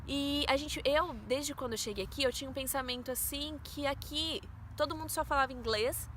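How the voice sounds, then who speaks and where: A woman's voice: high voice, fairly quick, fairly bright tone, slight background noise. A woman in her twenties with a Brazilian Portuguese accent, earnestly telling viewers a personal faith testimony. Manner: earnest, storytelling, addresses viewers as "gente". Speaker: vlogger.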